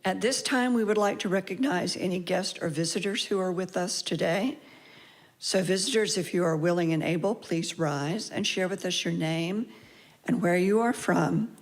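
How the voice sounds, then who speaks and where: A woman leading a congregational service, reading the affirmation and welcome announcements in a measured, formal way. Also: on the low side. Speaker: worship service leader. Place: congregation sanctuary.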